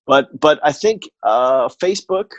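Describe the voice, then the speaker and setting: A man chatting casually as a podcast guest and telling anecdotes in a halting, wry way, often correcting himself. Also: mid-range voice, lively intonation, no echo, highs cut off. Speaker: podcast guest, audio theatre producer.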